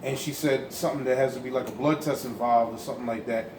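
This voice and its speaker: A man's voice: mid-range voice, fairly even intonation, quick pace. A man giving an emphatic, insistent teaching talk. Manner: forceful, insistent. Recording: echoey room with background noise.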